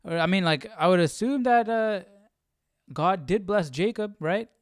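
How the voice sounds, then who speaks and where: A man's voice: high-pitched, expressive, measured pace, soft background music. A man hosting a casual live Bible study, thinking aloud and questioning, often checking with "right?".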